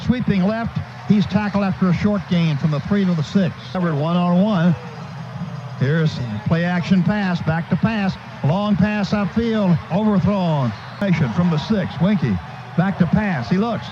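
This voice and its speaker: A high-pitched American man calls football play by play for radio. He narrates each move in clipped, present-tense bursts. The sound is muffled, with clear stadium noise behind him. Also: unhurried. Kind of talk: live football play-by-play commentary. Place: stadium broadcast booth. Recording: muffled, noisy old radio broadcast.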